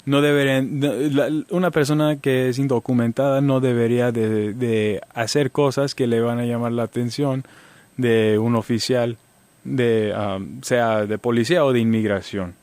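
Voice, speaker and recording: A man giving legal advice as a guest on a radio talk show, speaking haltingly with many restarts and fillers. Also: mid-range voice, steady tone, darkish tone, no echo. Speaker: immigration lawyer guest. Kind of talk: radio interview answers.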